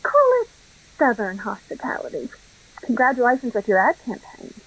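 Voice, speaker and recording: A woman's voice: on the high side, huge pitch swings, normal speed, fairly bright tone, full character voice, poor audio. A woman playing a smug, scheming Southern crime boss in a radio play, with an effusive, mock-genteel drawl. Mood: smug courtesy.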